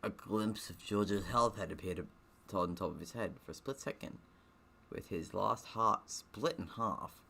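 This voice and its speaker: A man's voice: lowish voice, a little slow, vocal fry, pushed and bright. A man reading a game's story aloud with casual, rambling asides, breaking off to comment as he goes. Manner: rambling, self-interrupting. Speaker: gaming video host.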